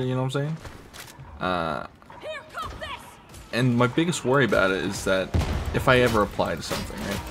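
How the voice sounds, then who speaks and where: Rambling young man with a scratchy throat. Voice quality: deep, fairly monotone. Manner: rambling, many fillers, swears casually. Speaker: gamer commenting while playing. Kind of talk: casual gameplay commentary.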